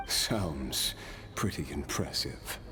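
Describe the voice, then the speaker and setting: An actor playing a weary, regretful immortal warrior with wry amusement, in a video game style. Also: male voice, extremely low pitch, animated, slow pace, exaggerated voice.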